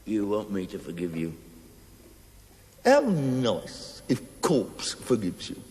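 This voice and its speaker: An actor playing a bitter, taunting, delirious dying army cook in a television play, ranting theatrically and mockingly. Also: male voice, lowish voice, wildly swooping pitch, takes it slowly, a little mellow, a little hiss or hum, heavily acted. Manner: theatrical, mocking, swinging from mutter to shriek. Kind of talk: television stage-play acting. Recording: echoey hall with long reverb.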